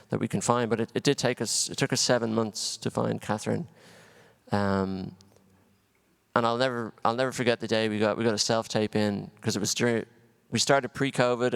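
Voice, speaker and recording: A man's voice: fairly low pitch, vocal fry, clean tone. A man from Dublin with an Irish accent, a film director answering questions on a festival panel in a thoughtful, restarting way. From Ireland, Dublin. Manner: thoughtful, with frequent restarts and ums. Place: festival venue hall. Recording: long hall reverb.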